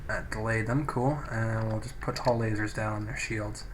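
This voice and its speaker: A man giving casual, sweary video game commentary as a let's play host, thinking out loud, recorded on a poor-quality microphone. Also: lowish voice, level tone, normal speed. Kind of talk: casual gameplay commentary with read-out game text. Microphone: low-quality home microphone. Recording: noisy cheap microphone, poor audio.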